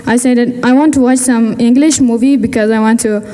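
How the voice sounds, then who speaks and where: A teenage girl speaks in a fairly high, mellow voice, halting as she searches for English words. Her speech rings out with a long reverb from a large hall. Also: fairly even intonation. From Afghanistan. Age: teens. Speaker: student speaker. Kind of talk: personal speech at a gala.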